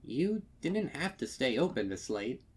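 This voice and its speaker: A man's voice: mid-range voice, sing-song, measured pace, a little mellow, husky, voice pushed up. A man voicing a jumpy, kindly adult male pony shopkeeper with a hesitant, stammering manner in a storybook reading. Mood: concerned.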